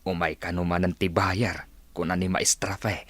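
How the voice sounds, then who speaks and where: A man's voice: deep voice, little pitch movement, moderate pace, a bit bright, no room sound. A man with an Ilocano accent playing an affectionate, hardworking village pig farmer and father in a radio drama, on a noisy recording. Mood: tender.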